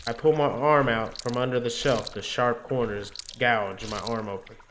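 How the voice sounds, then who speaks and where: A man's voice: medium pitch, fairly even intonation, normal speed, heavy background noise, lightly acted. A man voicing an anguished, macabre young woman with amnesia in a visual novel, theatrical and brooding, easily bewildered. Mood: brooding, pained. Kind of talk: dramatic first-person visual novel narration.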